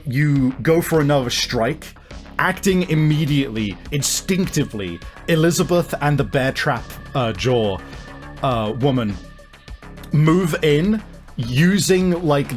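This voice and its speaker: Male game master, halting, over faint music. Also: mid-range voice. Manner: halting, thinking aloud mid-description. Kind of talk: improvised tabletop game narration and casual rules talk.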